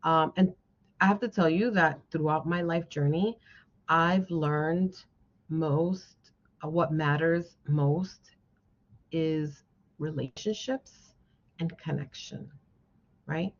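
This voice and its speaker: A woman's voice: deep voice, slow pace, pauses often. A woman guest speaker giving an encouraging motivational talk to a class, often checking in with her listeners. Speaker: guest speaker, social worker. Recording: dull, band-limited lo-fi sound.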